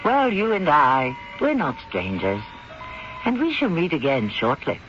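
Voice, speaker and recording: A man hosting a radio mystery series, delivering theatrical scripted narration in a wry, measured, philosophical manner. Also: a little high, huge pitch swings. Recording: dull lo-fi radio broadcast, music.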